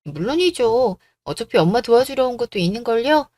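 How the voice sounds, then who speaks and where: A woman's voice: a little high, expressive, a little fast, darkish tone, no echo. A woman voicing a courteous, dutiful young bride-to-be in a radio story drama. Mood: bright. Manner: courteous, composed.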